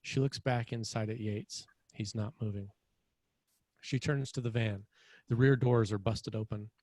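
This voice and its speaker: A man's voice: lowish voice, steady tone, unhurried, a little mellow, dry recording. A man narrating screenplay scene headings and action in a scripted read.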